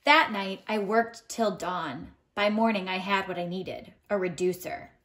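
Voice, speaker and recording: Woman, teacher's read-aloud style, medium pitch, expressive, deliberate, emphatic storytelling. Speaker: teacher reading a picture book aloud. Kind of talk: expressive children's read-aloud with lesson prompts. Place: indoors at home, tiled floor.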